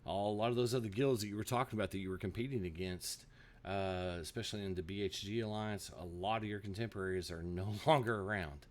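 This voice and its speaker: Interview host, man with a lowish voice, easy affirming manner. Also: fairly even intonation, fast talker, slight background noise. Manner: affirming, prompts with questions. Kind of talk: casual interview.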